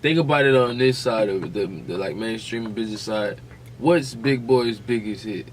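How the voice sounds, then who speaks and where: A man's voice: on the low side, normal speed, slight background noise, low-quality recording. An American man co-hosting a podcast, chatting casually in slang and reasoning aloud in a conversational way. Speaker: podcast co-host.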